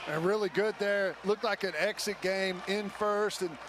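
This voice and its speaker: A man's voice: sits high, fairly even intonation, moderate pace. An American man giving conversational, explanatory color analysis during a live football radio broadcast. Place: stadium broadcast booth. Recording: clear stadium noise behind.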